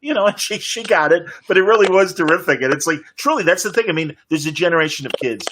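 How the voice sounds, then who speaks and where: A man's voice: a little high, lively intonation, quick pace, fairly bright tone, slight background noise. A man moderating a panel in casual interview chat, talking like an enthusiastic fan. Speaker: panel moderator, podcast host.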